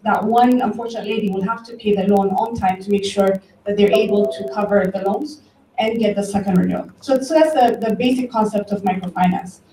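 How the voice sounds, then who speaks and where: A woman's soft-edged, mellow voice comes from a little distance in a reverberant room. She is from Ethiopia and speaks as a presenter at an event, informally and conversationally. She tells anecdotes and turns to her listeners directly, over a slight background noise. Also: medium pitch. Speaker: conference speaker, tech company director. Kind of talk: informal public talk with storytelling. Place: event room, before an audience. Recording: roomy, distant mic, faint background noise.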